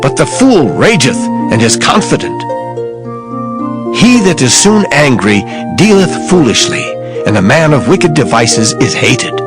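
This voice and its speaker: A man giving a dramatized scripture reading in a measured, declamatory way. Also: mid-range voice, big pitch swings. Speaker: scripture reader. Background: background music.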